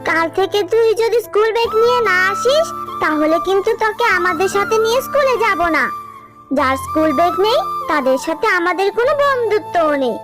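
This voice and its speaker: Snooty animal schoolgirl, extremely high voice, childlike, boastful, taunting, cartoon, loud music. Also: lively intonation, fairly quick.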